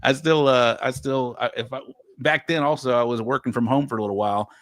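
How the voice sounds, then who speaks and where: A man's voice: medium pitch, brisk, no room sound. A man from Texas chatting casually on a livestream from his home office, rambling with lots of restarts and easy laughs. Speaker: guest podcast host.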